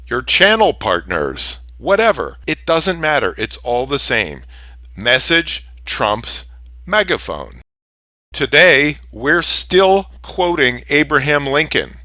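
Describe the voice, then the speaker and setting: A man's voice: medium pitch, bright, forceful tone, dry recording. A man presenting business advice in an instructional monologue, speaking emphatically in short, punchy statements. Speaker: branding expert, presenter.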